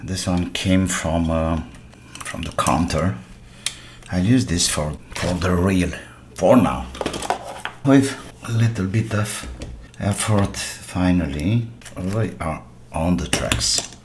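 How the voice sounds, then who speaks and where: A deep-voiced man talks slowly and half to himself as he works at his bench, dropping short remarks between tasks. The clink and clatter of tools carries clearly behind his voice. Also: noisy background. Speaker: repair channel host. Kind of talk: quiet workshop commentary while repairing. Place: workbench.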